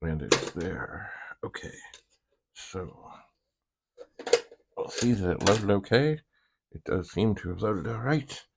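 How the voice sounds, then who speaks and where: Male hobbyist host, low register, unhurried and halting delivery, casual thinking-aloud demo. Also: lively intonation, creaky, very noisy. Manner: halting, muttering asides to himself. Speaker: vintage computer hobbyist host.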